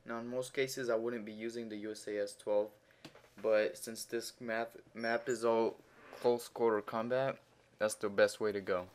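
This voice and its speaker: A man's voice: on the low side, flat delivery, moderate pace. A teenage boy with a Russian accent telling a crude, profanity-filled story in a rambling way, with many fillers, while commenting over gameplay. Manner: grows louder and heated while retelling the argument. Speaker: gaming commentator.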